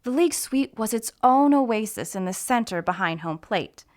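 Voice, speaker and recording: A woman's voice: a little high, animated, normal speed, darkish tone. A woman narrating a scripted mystery story as an actor reading aloud. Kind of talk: scripted audiobook narration with character voices.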